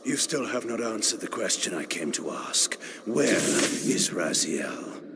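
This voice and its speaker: An actor playing a proud, imperious vampire lord in a video game, with a gravelly voice and a haughty, theatrical, commanding manner. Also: male voice, fairly low pitch, big pitch swings, measured pace, heavy background noise. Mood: impatient, imperious. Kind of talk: dramatic video game acting.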